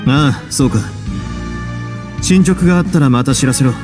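An actor playing a composed, wry prison duke in a Japanese game, measured and courteous. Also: male voice, medium pitch, over music, natural voice. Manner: measured, wry, self-assured.

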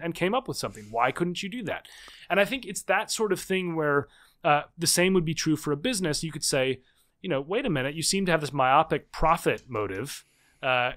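A man in his thirties, a book author, explaining his ideas in a podcast interview with lively restarts. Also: a little high. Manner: animated, fast explaining with restarts. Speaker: guest author.

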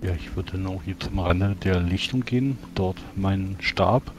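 A man's voice: sits low, moderate pace. A man playing a tabletop roleplaying game, hesitantly describing what his character does. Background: soft music.

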